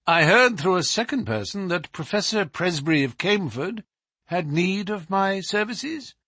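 Guy playing a polite unruffled detective in an audiobook, highish voice, formal, dry close sound. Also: lively intonation, measured pace, pushed and bright, no echo, exaggerated voice. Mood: polite, guarded. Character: amiable, courteous, composed gentleman detective. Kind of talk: dramatised audiobook reading.

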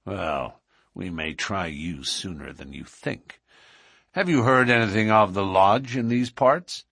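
A man voicing a menacing, gruff lodge boss in an audiobook, blunt and threatening as he interrogates. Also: low-pitched, expressive, slow pace, a bit bright, no room sound, studio quality, full character voice. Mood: probing. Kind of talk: audiobook character dialogue.

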